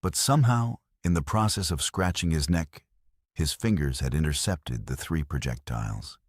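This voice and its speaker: A man's voice: rumbling low, lively intonation, moderate pace, breathy edge, dry recording, studio quality. A man narrating an action audio novel in a dramatic storytelling style.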